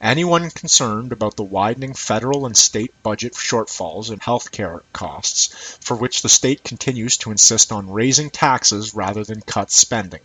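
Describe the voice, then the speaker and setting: Male narrator for scripted essay reading; fairly low pitch, distinctly husky tone. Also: fairly bright tone, low-quality recording. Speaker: reader of an essay.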